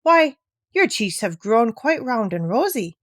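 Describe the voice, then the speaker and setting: A woman's voice: fairly high pitch, sing-song, measured pace, fairly bright tone, no echo, clean studio sound. A woman voicing an affectionate, well-bred elderly grandmother in a storybook, speaking in emphatic exclamations. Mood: astonished delight.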